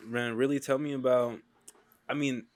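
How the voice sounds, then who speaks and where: A man hosting an interview in loose, slangy talk, filling in with "you know what I'm saying" and steady affirmations. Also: medium pitch, normal speed, over a noisy crowd, very noisy. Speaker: interview host.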